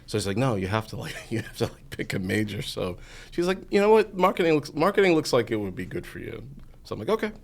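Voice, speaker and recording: A man's voice: mid-range voice, very animated, fast, creaky. A man, telling his story as an interview guest in a rambling way, full of "you know" fillers. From Massachusetts. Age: 40s. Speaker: guest, entrepreneur.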